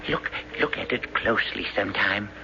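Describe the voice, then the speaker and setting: A man playing a desperate, down-on-his-luck obsessive in a radio drama, speaking with halting, insistent intensity. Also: fairly low pitch, vocal fry, bright, forceful tone, full character voice. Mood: urgent warning. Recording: dull, noisy old radio broadcast.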